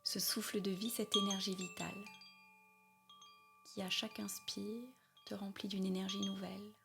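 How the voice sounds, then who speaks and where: A woman leading a guided yoga nidra meditation in a soothing, unhurried way, with soft, breathy phrase endings. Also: mid-range voice, level tone. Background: meditation music with chimes.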